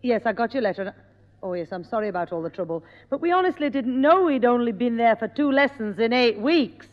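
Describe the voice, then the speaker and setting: A woman's voice: a little high, lively intonation, brisk, close to an everyday voice. Actress as a patient, firm mother; echoey old broadcast. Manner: weary but firm. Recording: dull echoey old radio recording.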